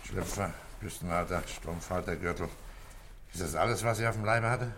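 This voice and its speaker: Calm police commissioner in a radio drama, really low voice, terse, bit of noise on it. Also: male voice, moderate pace. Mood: pondering, methodical. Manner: terse questioning and quiet orders. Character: calm, methodical male police commissioner leading a murder case. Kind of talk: German radio play acting.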